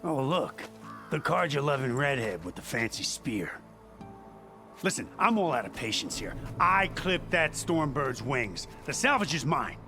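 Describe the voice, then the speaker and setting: A man's voice: medium pitch, big pitch swings, a little slow. An actor playing an impatient, swaggering gang boss in a video game, sarcastic and folksy in his bluster. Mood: menacing sarcasm. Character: gruff, swaggering male scavenger gang boss, impatient bully. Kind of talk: video game cutscene acting. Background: music.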